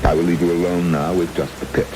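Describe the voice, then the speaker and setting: An actor playing a suave, menacing villain in a vintage radio drama, speaking with mock courtesy and deliberate, gloating menace. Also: male voice, low voice, flat delivery, over music, close to an everyday voice. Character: suave, menacing male villain interrogating his captives. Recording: old radio broadcast under loud rain-like noise.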